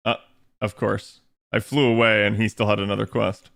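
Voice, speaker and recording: A man's voice: on the low side, flat delivery, moderate pace, creaky, a bit bright. Laid-back man streaming a game. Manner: laid-back, slangy, laughs at himself. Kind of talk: casual gaming stream chat.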